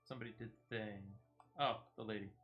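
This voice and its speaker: A man's voice: fairly low pitch, fairly even intonation, fast, dull audio. A man giving casual, playful gameplay commentary as he streams. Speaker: game streamer. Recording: music playing behind the voice. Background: game music.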